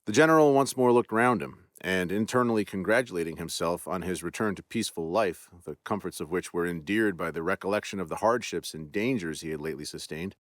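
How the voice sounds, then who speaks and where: Low voiced guy reading a spooky old tale, slow and steady, super dry close sound. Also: dry recording. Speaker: podcast host and narrator. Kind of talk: scripted narration of a ghost story.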